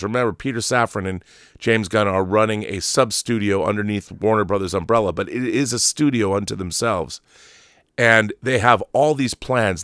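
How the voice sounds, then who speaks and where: Guy hosting a movie show, lowish voice, dry close sound, rambles and restarts but really emphatic. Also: a bit bright, smooth, dry recording. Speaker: host of a film commentary show; trailer editor. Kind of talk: opinionated, off-the-cuff movie commentary.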